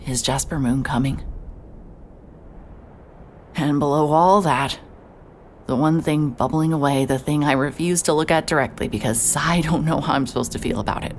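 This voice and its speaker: A woman's voice: low voice, a little hiss or hum. An actress narrating in first person as a sarcastic, guarded woman investigator who swears when she is upset. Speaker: narrator and lead character.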